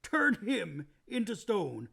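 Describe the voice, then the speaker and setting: A man voicing a gruff, eager male beaver who is proud and kindly, with a rough, strained voice. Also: high voice, wildly swooping pitch, slow, a bit bright, full character voice. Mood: earnest, emphatic.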